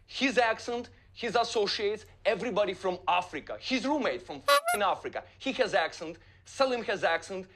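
A man's voice: high voice, wildly swooping pitch, moderate pace, few pauses, heavy background noise. Heated man scolding emphatically. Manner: heated, emphatic, non-native phrasing. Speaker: investigative journalist.

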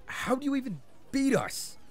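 Brash, animated teenage boy gamer voiced by a man. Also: very high-pitched, moderate pace, soft background music, heavily acted. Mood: incredulous.

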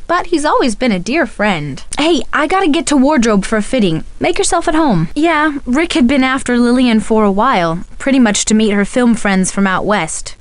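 Woman acting a high-voiced, earnest American soap actress. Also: expressive, normal speed, few pauses, dry recording, natural voice. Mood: chatty. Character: friendly young soap opera actress, earnest and worried. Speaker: video game character voice actor. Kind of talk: scripted game dialogue.

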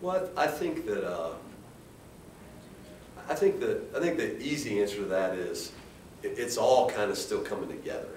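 An American man speaking as a coach at a post-game press conference, in a halting, measured way with frequent restarts. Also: animated, a little mellow. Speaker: head basketball coach. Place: indoor press room at a table. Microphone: distant camera. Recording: roomy, distant mic with faint rumbling noise.

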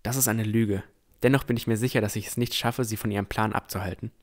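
Ein Mann spielt einen vorsichtigen jungen Entdecker, der sein Fantasy-Abenteuer bedacht und ernsthaft in der Ich-Form erzählt. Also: male voice, lowish voice, fairly even intonation, fast, lightly acted. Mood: wary. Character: cautious, thoughtful young male explorer narrating his adventure. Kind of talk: first-person scripted narration in fantasy audio drama.

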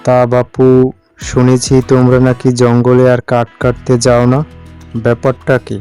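A man's voice: medium pitch, completely flat delivery, moderate pace, mellow, clean tone, faint music behind, lightly acted. A man playing a brave, confident young village tea-seller in a cartoon story, speaking in a defiant, plain-spoken way. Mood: curious.

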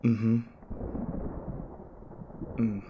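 A man playing an anxious, sarcastic young college student in an audio roleplay, rambling and halting in a wry, flustered way. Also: on the low side, level tone, highs cut off, noisy background. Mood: curious, wry. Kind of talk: audio roleplay acting, one-sided dialogue.

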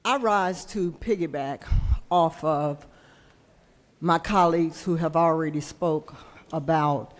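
An older woman, a state senator telling a folksy story in a floor speech at a podium microphone. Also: low voice. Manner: folksy, slow storyteller, acts out quoted voices. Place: large legislative chamber. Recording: long hall reverb in chamber.

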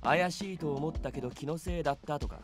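A man's voice: on the high side, very fast, lightly acted. An actor playing a weary, scolding police officer in his thirties in a Japanese visual novel. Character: exasperated male police colleague in his thirties. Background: game music.

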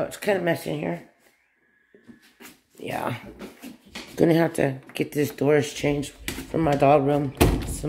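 A woman's voice: sits low, vocal fry, a little mellow. A woman filming her bedroom and doll collection on a phone, talking in a rambling, hesitant way and losing her words now and then. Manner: slow, hesitant, loses her words, nervous. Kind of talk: casual home vlog, rambling. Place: small bedroom at home. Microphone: phone camera held by the speaker, off screen.

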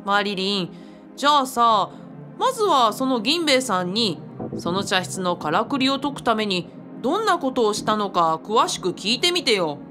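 A woman's voice: on the high side, big pitch swings, normal speed, sharp tone. A woman acting a put-upon young man in a radio drama, boyish and grumbling but good-natured. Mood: questioning. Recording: faint music behind the voice.

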